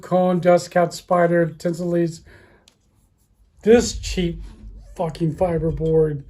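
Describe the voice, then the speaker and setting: Casting: man, 50s, informal and blunt industry vlogger, slightly high but soft-edged, dark tone, noisy room behind. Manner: blunt, informal, occasionally swearing. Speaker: speaker manufacturer presenting a video. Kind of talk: casual off-the-cuff industry talk. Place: indoor audio room with wood-block wall. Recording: clearly noisy room ambience.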